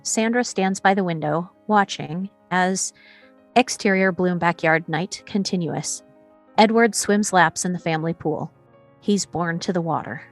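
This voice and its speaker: A woman reading screenplay action lines as scripted narration, in a storytelling way with short pauses. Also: on the low side, soft background music. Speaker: stage directions reader.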